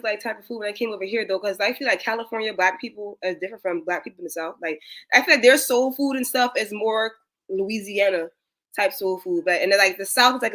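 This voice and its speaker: Lively bright-voiced woman with Southern Geechee accent. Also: medium pitch, low-quality recording. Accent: Gullah Geechee, South Carolina. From South Carolina. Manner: animated, slangy, many "like" fillers. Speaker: guest commentator. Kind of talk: casual chat.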